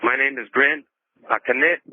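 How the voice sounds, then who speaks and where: A middle-aged man from the Seattle area giving personal testimony in a halting way, full of "you know" fillers. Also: mid-range voice. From Seattle area, USA. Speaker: incarcerated cultural group member. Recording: tinny phone line.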